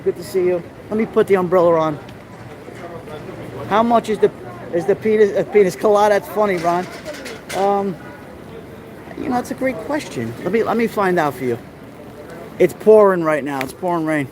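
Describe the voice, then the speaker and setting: A middle-aged New York man walks a rainy city street with his phone, talking to his live stream audience in a fairly high voice. He rambles in a friendly way and greets viewers by name as he goes. Loud street noise comes through the phone mic around him. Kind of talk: casual walking tour live stream. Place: outdoor city street during a festival, raining. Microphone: handheld phone camera.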